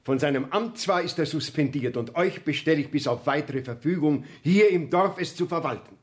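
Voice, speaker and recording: A man's voice: fairly high pitch, moderate pace, close to an everyday voice. An actor playing a formal, commanding male court councillor in a radio play, with precise, declamatory delivery. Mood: brisk, official.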